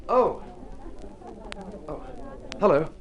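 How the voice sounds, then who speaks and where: A man's voice: a little high, animated, extremely slow, highs cut off. An actor playing an earnest, polite young male painter fresh from the country, in an old radio drama. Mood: eager, polite. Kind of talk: vintage radio drama acting.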